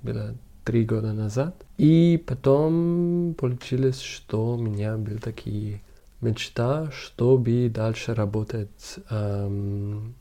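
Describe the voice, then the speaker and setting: Slow, low-voiced man with a foreign accent. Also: mellow. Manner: halting, searching for words. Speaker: interview guest, documentary photographer. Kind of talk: conversational interview.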